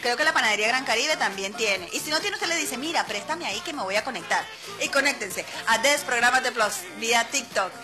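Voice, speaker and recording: A woman's voice: on the high side, lively intonation, fairly quick, music in the background. Chatty Venezuelan woman radio host. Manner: chatty, playful, laughs often. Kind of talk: casual radio show chat. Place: radio studio.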